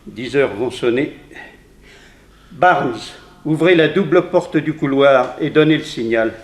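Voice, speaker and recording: A man's voice: medium pitch, takes it slowly, a little hiss or hum, natural voice. Authoritative male prison warden, played by an actor, 1960s radio drama: mid-range, commanding, formal, strong room echo. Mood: solemn, formal. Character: stern, authoritative male prison warden presiding over execution. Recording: echoey hall, old radio production.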